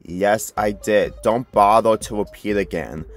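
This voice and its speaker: A man's voice: fairly low pitch, measured pace, soft background music, close to an everyday voice. A man voicing a surly, impatient eighteen-year-old woman biker, curt and dismissive, in a story reading. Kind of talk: character dialogue in a story reading.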